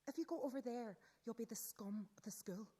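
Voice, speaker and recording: Radio drama: snobbish schoolgirl queen bee, high mellow voice, sneering and bossy. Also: a little fast, husky. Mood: angry, bossy. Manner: sneering, gushing, theatrical. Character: snobbish, bossy teenage mean girl, scornful schoolgirl. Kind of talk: radio play acting.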